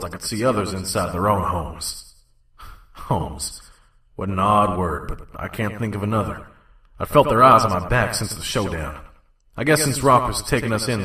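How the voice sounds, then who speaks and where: Guy doing gruff wary wasteland pony trader, terse narrator, lowish forceful voice. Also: reverberant, distant mic. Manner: terse, guarded. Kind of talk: first-person story narration and dialogue.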